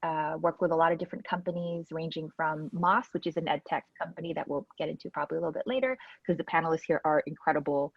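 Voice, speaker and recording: A woman's voice: deep voice, steady tone, fast, hardly any pauses, slightly rough, no echo. A woman from Hong Kong on a panel, talking casually about her background with many fillers. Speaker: venture investor panelist. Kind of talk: panel talk, personal background. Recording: dull lo-fi sound.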